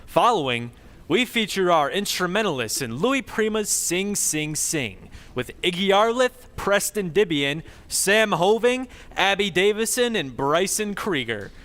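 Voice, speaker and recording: A man's voice: high-pitched, very animated, unhurried, a bit bright. A man hosting a stage radio show, announcing acts in a scripted presenter style. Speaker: host, announcer.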